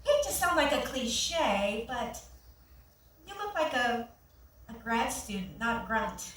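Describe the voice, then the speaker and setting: An actress performs a friendly, bookish young woman, a nurse in a stage play, with a very high voice. She speaks eagerly with small restarts. The recording is distant and very echoey, with faint noise. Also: very animated, takes it slowly, fairly bright tone, exaggerated voice. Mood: curious. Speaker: actor in a staged reading. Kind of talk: staged reading of a one-act play. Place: theatre stage, recorded from the audience. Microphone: far camera.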